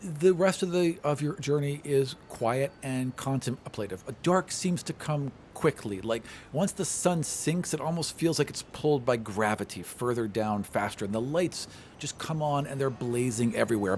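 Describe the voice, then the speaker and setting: A man's voice: mid-range voice, expressive, normal speed, hardly any pauses, a little mellow, a little hiss or hum. A man acting as game master, describing scenes and explaining things in a casual, conversational way. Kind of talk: narrating a tabletop game, conversational.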